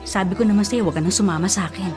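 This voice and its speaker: A woman playing a bossy, superstitious older sister in a radio drama, scolding, insistent and quick to defend herself. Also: medium pitch, lightly acted. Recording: prominent music behind the voice. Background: music.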